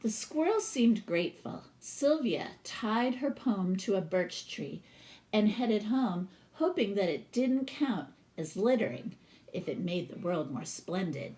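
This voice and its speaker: A woman reads a children's picture book aloud at a slow, measured pace. Her pitch sits in the middle range. She delivers the story expressively, like a teacher, and steps out of it casually to explain the pictures. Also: big pitch swings, warm tone. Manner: pauses to explain the pictures to listeners.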